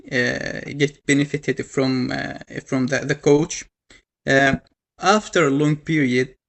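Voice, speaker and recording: Young man from Yemen, personal story, slow with fry, hesitant delivery. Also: a little high, a bit bright. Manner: halting, many fillers and restarts. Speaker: panel speaker. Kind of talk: personal story told to an audience.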